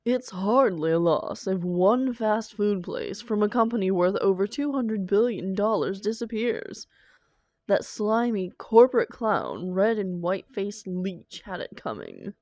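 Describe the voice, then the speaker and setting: A woman playing a doting, defensive supervillain lover in an intimate roleplay audio drama, coaxing theatrically with endearments and dramatic pauses. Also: mid-range voice, very animated, darkish tone, no echo. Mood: indignant, impassioned.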